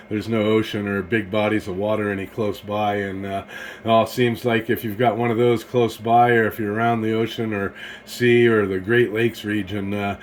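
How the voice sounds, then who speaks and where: A man's voice: lowish voice, slight background noise. An American man chatting casually as an amateur radio operator, in a hesitant, rambling way. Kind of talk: casual ham radio conversation.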